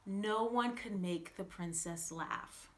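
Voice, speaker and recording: A woman reading a fairytale aloud as a children's storyteller, with theatrical, drawn-out emphasis. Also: mid-range voice. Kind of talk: scripted fairytale narration for children.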